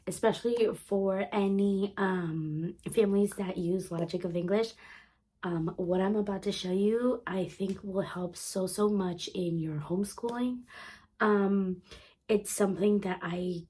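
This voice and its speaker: Woman, 30s, homeschool vlogger; sick, congested and throaty; mellow tone, eager chatty delivery full of ums. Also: mid-range voice, measured pace. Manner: chatty, enthusiastic, many ums and restarts. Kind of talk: casual show-and-tell vlog.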